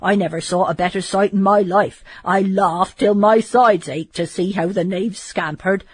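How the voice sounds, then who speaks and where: A woman's voice: mid-range voice, fairly even intonation, normal speed, breathy edge, no room sound, natural voice. A woman voicing a boastful, jovial male soldier in an audiobook reading. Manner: loud, swaggering, laughing at his comrade.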